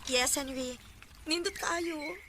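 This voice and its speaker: A woman's voice: high-pitched, takes it slowly. A woman with a Filipino Cebuano accent acting an emotional married woman with a secret romance in a radio drama. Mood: delighted. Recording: noisy radio drama with ambience.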